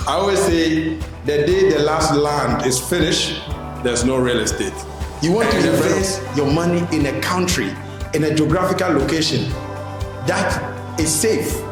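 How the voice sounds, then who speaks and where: Man pitching with a heavy echo. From Ghana. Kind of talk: promotional speech. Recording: very echoey room with music.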